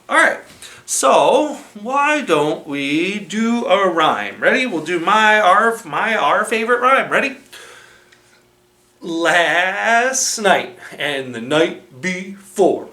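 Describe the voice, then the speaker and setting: Slow, lively male storyteller in echoey room. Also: high-pitched. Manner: expressive, dramatic pauses and emphasis. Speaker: children's librarian storytime host. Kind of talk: animated children's storybook read-aloud. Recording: roomy, echoing room sound.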